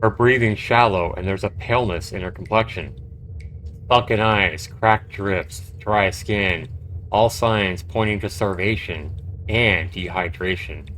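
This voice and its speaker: A man's voice: deep voice, measured pace, fairly bright tone, a little hiss or hum, low-quality recording. A man live-streaming a game, reading its text aloud and adding casual, reactive commentary. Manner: reactive, conversational.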